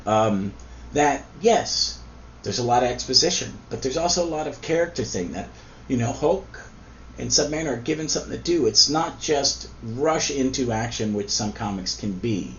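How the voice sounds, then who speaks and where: A man hosts a relaxed comic book chat, his voice a little hoarse from a cold. He wanders through his points with plenty of ums and restarts. The recording is a bit dull and lo-fi, with a faint hiss underneath. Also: medium pitch, normal speed. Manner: rambling, with frequent ums and restarts. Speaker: podcast host. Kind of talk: casual comic book review.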